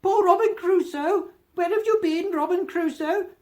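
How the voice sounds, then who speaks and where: A woman voicing an old male miser in a storybook, earnest and excited, between laughing and crying. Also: very high-pitched, measured pace, pitched above the performer's own voice. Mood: gleeful excitement. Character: old miser Scrooge, excited and tearful at boyhood memories.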